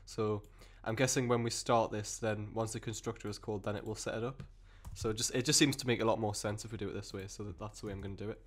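Male coding tutor, lowish croaky voice from a cold, casual rambling delivery, desk mic. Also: fairly even intonation, quick pace, hardly any pauses. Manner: rambling, self-correcting explanations with many fillers. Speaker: programming tutor. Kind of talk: screen-recorded coding tutorial.

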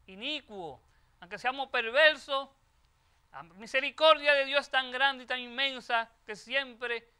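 Older male priest, high bright timbre, preaching a homily into a lectern mic in a chapel. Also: clear, resonant tone. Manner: measured, emphatic preaching with repeated phrases. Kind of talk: Gospel reading and homily at Mass. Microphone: lectern microphone.

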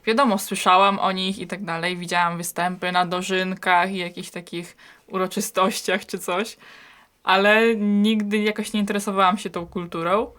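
A woman's voice: medium pitch, level tone, normal speed, fairly bright tone. A young woman talking informally about her experience, matter-of-fact. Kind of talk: informal personal talk.

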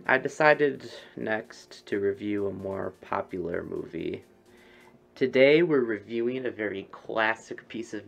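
Young male game streamer, gravelly fry, joking and repetitive, muffled lo-fi webcam sound. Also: on the low side. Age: young adult. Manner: repeats phrases over and over. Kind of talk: casual joking gameplay commentary. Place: bedroom, indoors. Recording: dull, lo-fi webcam stream audio.

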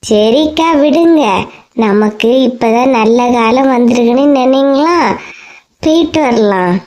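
A woman's voice: fairly high pitch, unhurried, warm tone, natural voice. A woman voicing an eager, agreeable younger sister in a comedy cartoon, with a Kanyakumari Tamil accent.

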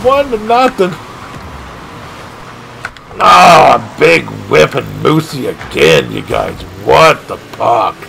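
Man, gaming streamer, slow-talking, wildly animated pitch, excitable commentary. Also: gravelly, a little hiss or hum, rough recording. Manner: excitable play-by-play with shouted catchphrases. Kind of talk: live gameplay commentary.

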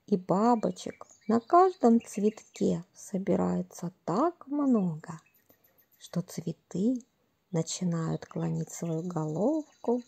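A woman narrating a scripted fairy tale for children in a soft, breathy, gentle and unhurried storytelling manner. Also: lowish voice.

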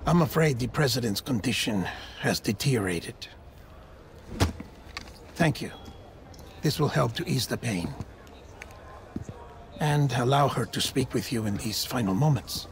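An actor playing a solemn, polite medical official in a video game, formal, deferential and gently grave. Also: male voice, mid-range voice, animated, measured pace, pauses often, slight background noise. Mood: apologetic, solemn. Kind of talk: cinematic video game acting.

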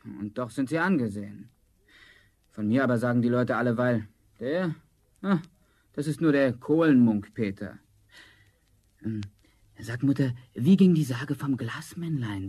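A man's voice: mid-range voice, animated, moderate pace, warm tone, slightly rough. A man playing a discontented, envious young charcoal burner in a theatrical 1970s fairy-tale audio drama. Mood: eager, curious.

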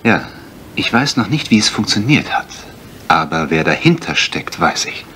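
An actor playing a brisk, wry husband turned amateur detective in a German radio mystery, polite, dry and assured. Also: male voice, low voice, sing-song, bright, forceful tone, heavily acted. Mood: wry, pondering. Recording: faint noise, retro radio play.

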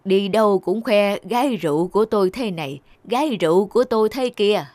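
A woman's voice: medium pitch, expressive, a little fast, a little mellow, no room sound, natural voice. A woman voicing a kindly but scolding old village shopkeeper in a folksy, earnest way. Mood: chiding. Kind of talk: Vietnamese cartoon dubbing.

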